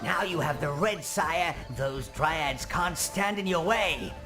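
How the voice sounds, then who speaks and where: Video game role: old goblin-like advisor, gravelly and high-pitched, fawning to his evil master, drily pompous, over music. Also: slow. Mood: conspiratorial, urging. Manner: obsequious, wryly pompous, theatrical. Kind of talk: theatrical video game character acting.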